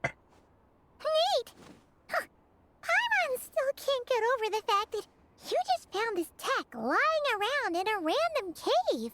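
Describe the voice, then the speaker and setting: A woman voicing a tiny, excitable floating fairy girl in an anime-style video game, squeaky and childlike, exclaiming as she talks. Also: takes it slowly, pauses often, no echo, full character voice. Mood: cheerful, curious. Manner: refers to self in third person, exclaiming. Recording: background music loud under voice.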